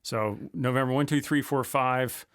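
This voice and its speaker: A man's voice: medium pitch, fairly even intonation, moderate pace, a bit bright, clean tone, no echo, clean studio sound. An American man interviewing a guest conversationally as a podcast host.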